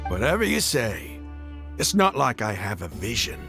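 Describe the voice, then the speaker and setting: An actor playing a devout, proud and touchy desert zealot leader in a video game, with a forceful, gravelly delivery. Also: male voice, fairly low pitch, full character voice. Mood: irritated. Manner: fervent, slow, dramatic. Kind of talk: video game character acting.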